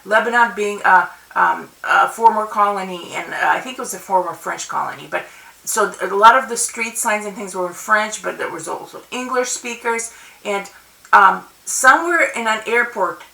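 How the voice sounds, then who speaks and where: Russian-born woman with a gravelly bright voice. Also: medium pitch, moderate pace. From Soviet Union. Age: middle-aged. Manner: rambling with frequent restarts and laughs. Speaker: convention speaker. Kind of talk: casual personal storytelling. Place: home study with bookshelves and plants. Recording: roomy webcam audio from a distance.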